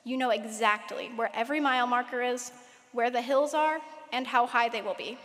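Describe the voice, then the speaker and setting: A teenage girl giving a prepared valedictorian speech at a graduation, measured and lightly humorous. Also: a little high, a bit bright. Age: late teens. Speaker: valedictorian speaker. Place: large hall at a graduation ceremony. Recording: echoey hall with long reverb.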